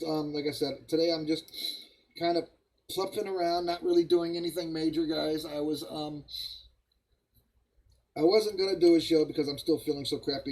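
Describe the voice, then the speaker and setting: A man with a cold, hoarse and coughing, hosting a live stream and chatting casually to viewers while building models. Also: on the high side, low-quality recording. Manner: rambling, friendly, greets viewers by name.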